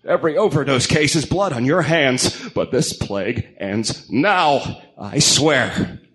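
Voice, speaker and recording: A man voicing a stern masked vigilante in a comic-book radio drama, grim, deliberate and accusing. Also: mid-range voice, expressive, a bit bright. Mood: accusing, resolute.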